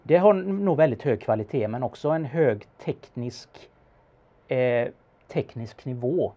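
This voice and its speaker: A man with a creaky, gravelly voice and a mellow, relaxed tone talks as an expert in a casual studio conversation. He pauses often mid-sentence as he explains. The sound is slightly dull. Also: mid-range voice. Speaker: car expert answering questions about an auction car.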